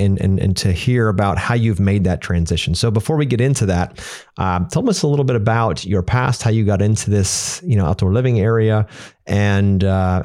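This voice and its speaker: A man's voice: lowish voice, warm tone. A middle-aged man hosting a podcast, upbeat and a little rambling, repeating words as he talks to his guest. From Pennsylvania. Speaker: podcast host. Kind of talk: podcast interview.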